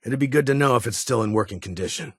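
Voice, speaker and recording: An actor playing a stern, weary security chief in a video game, with a husky voice and a formal, clipped, authoritative manner. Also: male voice, medium pitch, quick pace, no room sound, clean studio sound, close to an everyday voice. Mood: solemn, firm. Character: stern, weary male vault security chief, guarded but honorable.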